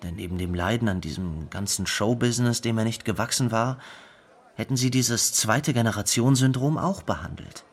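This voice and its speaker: An actor playing the grieving brother of a dead singer, reminiscing thoughtfully in a radio play monologue. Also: male voice, lowish voice, moderate pace, slightly rough. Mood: reflective, sad.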